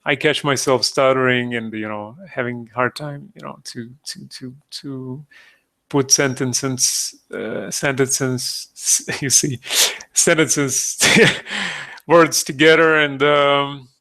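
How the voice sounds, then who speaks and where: Hesitant Croatian man with a gravelly voice. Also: mid-range voice, steady tone, pushed and bright. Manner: halting, many fillers and restarts. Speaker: digital sculpting streamer. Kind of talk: live tutorial talk. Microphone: close desktop mic, breathing audible.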